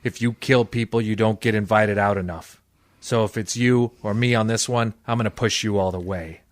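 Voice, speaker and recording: A man acts out a hardboiled private eye from a radio detective play. His voice sits low, and he delivers wry, cynical lines deadpan, as if narrating 1940s noir. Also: moderate pace, no echo, studio quality, lightly acted. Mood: cynical, hard. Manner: deadpan, wisecracking.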